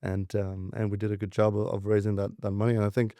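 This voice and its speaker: A man in his twenties from Denmark, raised in southern France, telling his career story in a relaxed interview, with lots of fillers and restarts. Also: fairly low pitch, fast talker, no echo. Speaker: guest, venture capitalist.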